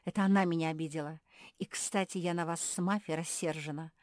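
A woman's voice: sits low, steady tone, brisk, a little mellow, no room sound, clean studio sound, natural voice. A woman voicing a sulky, defiant female pug in a children's story, snappish and indignant. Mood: grudging, indignant.

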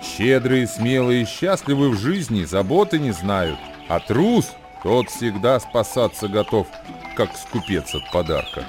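A male radio actor declaims ancient verse with solemn care and a lively, wide-moving pitch. His voice sits at an ordinary middle height. Music plays clearly behind him. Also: unhurried. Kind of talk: dramatic recitation of ancient proverbs.

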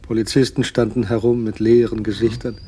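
A man's voice: lowish voice, flat delivery, fairly quick, dark tone, slight background noise, close to an everyday voice. A man acting as the dry, observant narrator of a German crime radio drama. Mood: dry, ironic.

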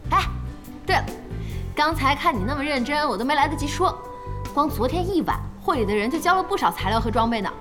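Feisty, high-pitched young woman boss. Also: fast talker, over music. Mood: brisk. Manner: blunt, expressive, exclamatory. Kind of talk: audio drama acting.

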